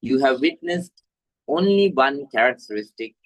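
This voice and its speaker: A man with a Sri Lankan accent, a Buddhist monk teaching meditation to an online group in a halting, deliberate way. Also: medium pitch, expressive, poor audio. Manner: halting, deliberate, repetitive phrasing. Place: village temple in Sri Lanka, over video call.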